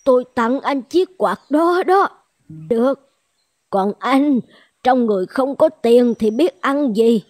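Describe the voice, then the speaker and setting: A woman voicing a kindly, humble old village fan seller in a folk-tale cartoon, gentle and plaintive. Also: fairly high pitch, animated, slow pace, no echo. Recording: music behind the voice.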